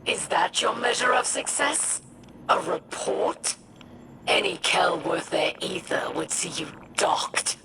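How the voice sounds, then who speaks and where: Female game warlord, alien: imperious, scornful, unhurried, swooping intonation, breathy harshness, music behind. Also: fairly low pitch, expressive, background noise, exaggerated voice. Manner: imperious, contemptuous, measured. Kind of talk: video game dramatic dialogue.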